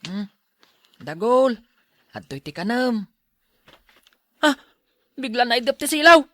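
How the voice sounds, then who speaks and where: A woman's voice: fairly high pitch, sing-song, slow pace, a bit bright, dry recording, full character voice. A woman playing a timid, easily frightened schoolboy with a fretful, whiny delivery in an Ilocano radio drama. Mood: nervous, frightened.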